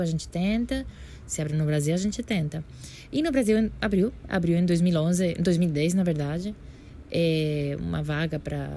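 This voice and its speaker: A woman with a Puerto Rican accent speaks as an interview guest in a low, mellow voice. She is middle-aged and reflective, pausing to search for words and correcting herself mid-sentence. Her answers unfold slowly as she thinks them through. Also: gravelly, slight background noise. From Puerto Rico. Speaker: guest astrophysicist.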